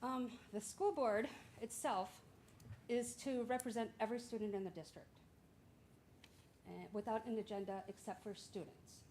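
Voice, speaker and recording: A woman's voice: a little high, normal speed, darkish tone, a little hiss or hum. A middle-aged woman interviewing as a school board candidate, speaking in a casual, chatty way with hesitant fillers. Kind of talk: public interview. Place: school board meeting room.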